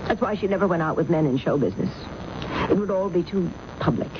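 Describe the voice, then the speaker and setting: An actress playing a worldly, composed show-business manager in a 1970s radio drama, knowing and conversational. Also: female voice, lowish voice, animated, quick pace, close to an everyday voice. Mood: confiding. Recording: muffled, noisy old radio recording.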